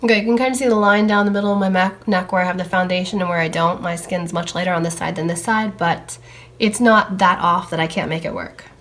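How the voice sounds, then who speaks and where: A young woman, slightly hoarse from a cold, chatting casually as she tries out makeup products on camera. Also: lowish voice, steady tone, quick pace, a bit bright. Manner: chatty, talking while applying makeup. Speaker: beauty vlogger.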